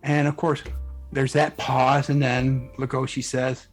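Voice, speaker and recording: A man's voice: medium pitch, little pitch movement, unhurried. A man talking casually as a guest writer being interviewed about his work. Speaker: guest author, fan fiction writer. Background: background music.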